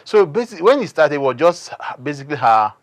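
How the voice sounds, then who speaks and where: A man's voice: a little high, sing-song, a little fast. A Nigerian man speaking as a guest director in a studio interview, explaining conversationally and repeating points for emphasis.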